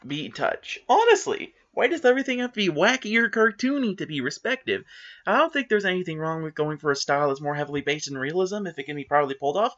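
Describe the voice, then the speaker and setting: A man's voice: fairly high pitch, sing-song, fast, fairly bright tone, dull audio. A man giving a dramatic reading of online forum comments, with exaggerated, indignant, theatrical emphasis. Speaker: reader of forum posts.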